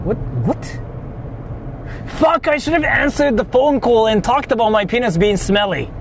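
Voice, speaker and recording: A young Japanese man with a Japanese accent, live-streaming casually from city streets, excitable and swearing freely as he talks to his chat. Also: extremely high pitch, normal speed, background noise.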